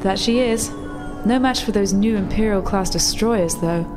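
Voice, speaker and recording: A woman playing a brisk, practical rebel contact in a tabletop roleplay, explaining things matter-of-factly. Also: medium pitch, music in the background, natural voice. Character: female rebel contact, brisk, practical, slightly flustered insider technician.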